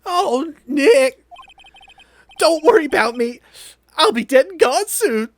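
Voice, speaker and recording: A woman's voice: high voice, expressive, slow. A woman voicing a whiny, melodramatic, heartbroken young man in a video game. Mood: wailing, despairing.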